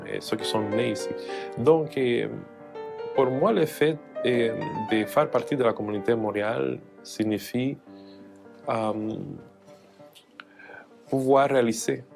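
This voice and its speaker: A man's voice: medium pitch, unhurried, vocal fry, long pauses, over music. A man from Cuba, a jazz pianist, speaking French as an in an interview, hesitant with many fillers and restarts. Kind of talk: interview testimony.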